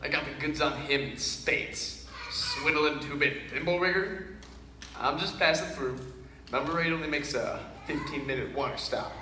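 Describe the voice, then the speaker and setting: A man's voice: on the high side, pushed and bright, a little hiss or hum. A brash traveling salesman. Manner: folksy, fast-talking, indignant. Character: blustering traveling salesman, brash and hot-tempered. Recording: roomy old film soundtrack.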